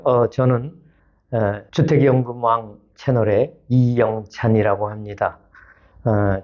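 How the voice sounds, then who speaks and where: A man in his seventies recounting his working life in a conversational interview style, measured, with hesitant pauses. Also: on the low side, highs cut off. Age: 70s. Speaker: retired auto-parts executive, channel creator, interviewee. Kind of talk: conversational personal account in an interview.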